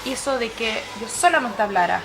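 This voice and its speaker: Young woman, Chilean Spanish accent, Swedish first language, slightly higher pitch, hesitant interviewee. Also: lively intonation, brisk, gravelly, low-quality recording. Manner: thoughtful, with frequent hesitations. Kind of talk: casual interview.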